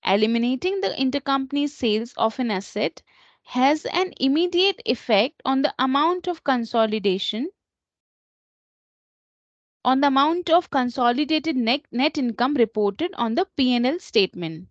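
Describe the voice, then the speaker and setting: A woman presenting an instructional slide lesson as a software trainer, explaining in a slightly halting way. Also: high-pitched, expressive, dry recording. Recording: dull lo-fi close recording.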